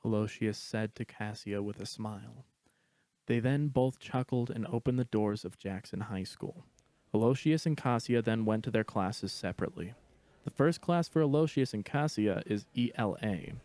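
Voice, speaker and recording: A man's voice: fairly low pitch, moderate pace, a little mellow, no room sound. A man reading a scripted story aloud as its narrator.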